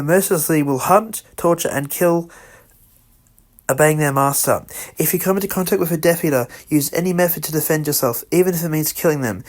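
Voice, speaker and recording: A man narrating an amateur scripted emergency broadcast, halting and correcting himself as he reads. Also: fairly high pitch. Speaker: radio emergency announcer in a fan-made scenario.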